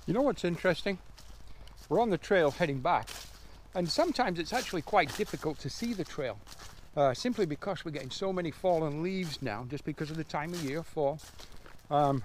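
Older male outdoor vlogger, slightly high voice, reflective and casual, repeats words for emphasis, faint ambient noise. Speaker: landscape photographer, vlogger. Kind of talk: casual outdoor vlog, musing to camera. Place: outdoors in woods and open field.

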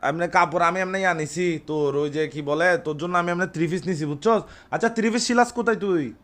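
A man's voice: sits high, fairly quick, a bit bright. A young Bangladeshi man with a Chittagong accent talking informally on a prank phone call, repeating himself and boasting. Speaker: prank caller playing an older brother.

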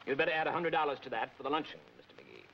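Fast, officious club treasurer. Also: male voice, mid-range voice, huge pitch swings, gravelly. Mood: prim. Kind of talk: 1940s film comedy acting. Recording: dull, noisy 1940s film soundtrack.

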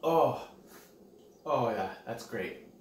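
A man in his thirties hosting a playful how-to cooking vlog at home with mock-serious, deadpan humour. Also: medium pitch, very slow, slight background noise. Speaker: cooking show host. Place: home kitchen and dining room.

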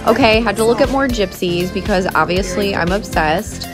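A woman's voice: medium pitch, moderate pace, music in the background. A woman in her thirties narrating a casual vlog in a gushing, enthusiastic manner. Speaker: vlogger and horse owner.

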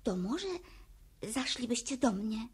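A woman's voice: a little high, slow pace, background noise. A woman playing a kindly, fussy, hospitable female creature in a children's radio play. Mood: coaxing.

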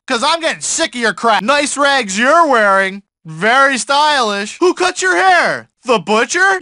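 A huffy whiny mailman from a video game, really high voice, swoops all over the place. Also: pushed and bright, no room sound, studio quality, exaggerated voice. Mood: angry, threatening, then mocking, annoyed. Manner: whiny, indignant, folksy slang. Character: irritable small-town mailman with a mustache, self-important, easily flustered. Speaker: video game character voice actor. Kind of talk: exaggerated cartoonish video game acting.

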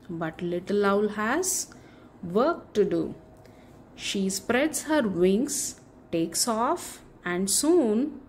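A woman reads a bedtime picture book to children very slowly, stretching her phrases with care. Her pitch sits in a middle range and swings with lots of melody. A faint noise sits behind her voice. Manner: expressive, deliberate storytelling with drawn-out phrases. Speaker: children's story reader. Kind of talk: read-aloud bedtime picture book narration for kids.

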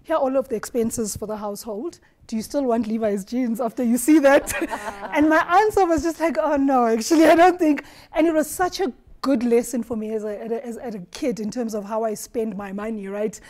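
A woman from Eswatini on a studio panel, telling stories in an animated way and laughing often, recorded on a lapel mic. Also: on the high side, brisk, few pauses. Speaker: marketing executive panelist. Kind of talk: roundtable discussion. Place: dark studio set at a round table.

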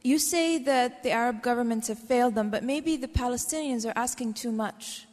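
Female audience member, slightly high pitch, measured questioning, hall reverb. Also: darkish tone.

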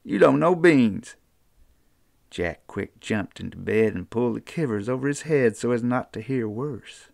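An older man with an Appalachian accent, reading a children's storybook aloud in an unhurried, folksy way. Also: on the low side, vocal fry, darkish tone, dry recording, clean studio sound. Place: small book-lined room indoors.

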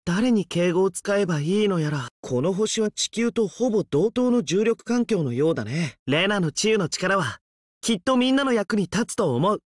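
A man's voice: high-pitched, animated, normal speed, dry recording. Young male RPG hero: boyish, earnest, friendly and polite; close, dry studio sound. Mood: earnest, thoughtful. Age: young adult. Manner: polite, gently upbeat, boyish. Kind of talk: Japanese RPG character voice lines.

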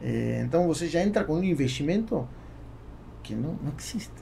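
A man's voice: fairly high pitch, lively intonation, fairly quick, creaky, mellow, a little hiss or hum. A man in his forties from Argentina, a native Spanish speaker with an Argentine accent, chatting as a podcast guest and often searching for words. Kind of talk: conversational interview. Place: small foam-treated podcast studio. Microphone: close podcast microphone on boom arm.

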